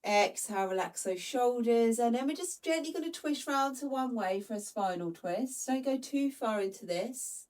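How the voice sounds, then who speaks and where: A woman leads a slow Pilates class in a gentle, steady voice, her nose a little stuffed up from hay fever. Her voice is picked up from some distance from the mic. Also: fairly high pitch. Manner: calm, gentle cueing. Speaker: Pilates instructor. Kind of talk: guided follow-along workout instruction.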